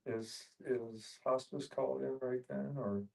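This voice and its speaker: Monotone, creaky man, distant. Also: mid-range voice, a little slow. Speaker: audience questioner. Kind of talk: asking a question in group discussion. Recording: voice picked up from a distance.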